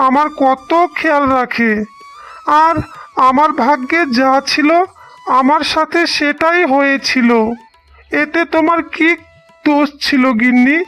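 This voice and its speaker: A remorseful cartoon husband voiced by a woman speaks in a high voice. He apologises and pleads very slowly, with sentimental melodrama and music underneath. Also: steady tone, fairly bright tone. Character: remorseful husband and elder brother, humbled, sentimental. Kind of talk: slow melodramatic cartoon dialogue.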